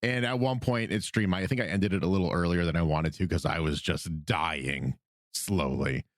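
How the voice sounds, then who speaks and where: A man co-hosting a casual fan podcast, getting over a cold, with big pitch jumps and frequent laughs. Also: no room sound, studio quality. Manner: animated, quick pitch jumps, laughs often. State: recovering from a cold. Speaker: podcast co-host.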